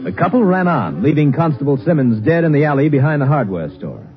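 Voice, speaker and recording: An actor narrating a police drama as a sober case-file storyteller, formal and scripted, with an American accent. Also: male voice, a little high, sing-song, brisk, loud music behind the voice. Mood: grave, factual. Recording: muffled old radio broadcast recording.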